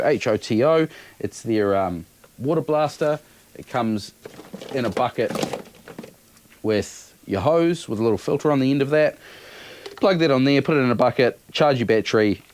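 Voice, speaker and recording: A man's voice: medium pitch, animated, moderate pace, a little mellow. A man giving a casual, unscripted product review in an offhand, matter-of-fact way.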